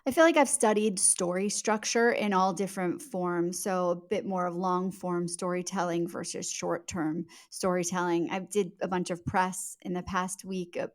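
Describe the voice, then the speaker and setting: A woman's voice: fairly low pitch, steady tone, normal speed, hardly any pauses. A woman speaking as a guest author in a conversational podcast interview, explaining things articulately and stressing key words.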